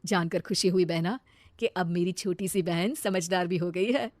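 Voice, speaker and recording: A woman voicing a proud, affectionate older brother in a cartoon story, gentle and reassuring. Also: on the low side, moderate pace, dry recording, voice pushed down, lightly acted. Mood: proud, approving. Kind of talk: cartoon moral-story dialogue.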